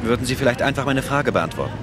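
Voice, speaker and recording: An official, insistent male cop played by an actor with a medium-pitched voice. He keeps a polite front in a radio play, with loud street and car ambience all around. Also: lively intonation, fast, a loud crowd, heavy background noise, natural voice. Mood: politely insistent. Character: formal, persistent male police officer questioning someone. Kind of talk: radio drama acting.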